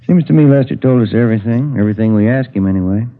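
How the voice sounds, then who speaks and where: A man's voice: medium pitch, rapid-fire, phone-quality sound, lightly acted. An American man playing an easygoing, inquisitive police detective in a 1950s radio drama, casual and matter-of-fact. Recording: old band-limited radio broadcast.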